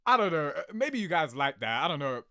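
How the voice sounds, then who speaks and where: A man giving casual, rambling reaction commentary in an animated, slangy, sweary way, laughing easily. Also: on the high side, quick pace, no echo, studio quality. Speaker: video creator reacting to game cutscenes.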